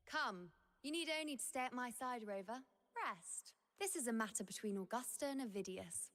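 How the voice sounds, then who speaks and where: An actress playing a poised, ominous huntress in a video game with dramatic delivery. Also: female voice, on the high side, lively intonation, normal speed, fairly bright tone, in a large hall. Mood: calm, amused.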